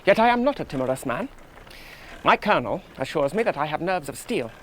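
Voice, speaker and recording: A young british army officer, higher voice, up and down a lot, earnest and jittery, old radio play. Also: male voice, big pitch swings, brisk, fairly bright tone, slight background noise. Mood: uneasy musing. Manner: earnest, precise, musing, self-reassuring. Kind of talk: 1970s radio play monologue.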